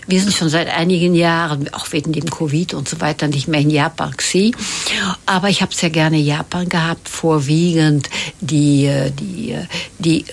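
Husky older woman. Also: sits low, fairly even intonation, moderate pace. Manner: rambling, many fillers and restarts. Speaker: interview guest. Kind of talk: casual conversational interview.

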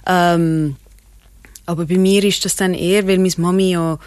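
A woman's voice: lowish voice, fairly even intonation, moderate pace, darkish tone, no room sound, clean studio sound. A young Swiss woman with a Swiss accent, chatting as an actress in an interview, laughing often. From Switzerland. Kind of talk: casual interview chat.

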